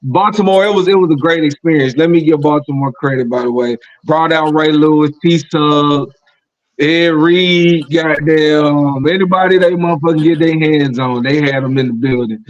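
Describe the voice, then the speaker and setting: A man's voice: on the high side, normal speed, muffled recording. A man hosting a casual sports podcast, opening each topic with a loose, profane, hyped-up delivery. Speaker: podcast host.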